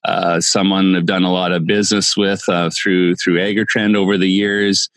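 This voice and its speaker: A middle-aged man hosting a podcast in casual, halting speech that often repeats words. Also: low-pitched, level tone, a bit bright, dry recording. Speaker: podcast host, farmer.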